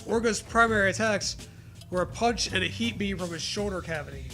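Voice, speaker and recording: A man's voice: high-pitched, fairly quick, faint music behind. A man hosting a dramatic reading of a story, mixed with crude, joking commentary. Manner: profane, jokey, animated reactions.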